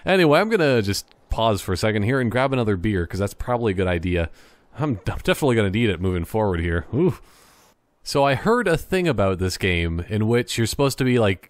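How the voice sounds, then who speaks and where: Lowish-voiced man rambling through game commentary. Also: no room sound. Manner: rambling, silly, mock-serious. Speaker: gameplay commentator. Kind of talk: casual joking game commentary.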